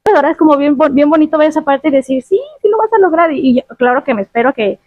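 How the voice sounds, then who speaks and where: High-voiced Mexican woman on a tinny line. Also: very fast, dry recording. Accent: Mexican Spanish. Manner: lively, chatty, laughs often. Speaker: guest. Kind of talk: casual interview chat.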